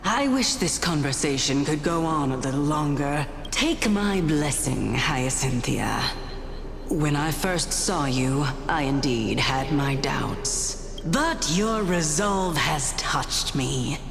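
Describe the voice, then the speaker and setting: Low, slow, stately general. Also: female voice, lively intonation, creaky, heavily acted. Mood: solemn, gentle. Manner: stately, measured, formal. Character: solemn, gentle female general bestowing her blessing. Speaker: voice actor in a video game. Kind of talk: scripted dramatic game dialogue. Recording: long echoing reverb with music behind.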